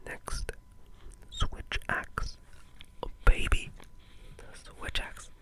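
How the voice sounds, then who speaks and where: A video creator speaking in a breathy, unvoiced whisper, giving casual, rambling and enthusiastic ASMR commentary on gaming. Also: very slow, bright, forceful tone.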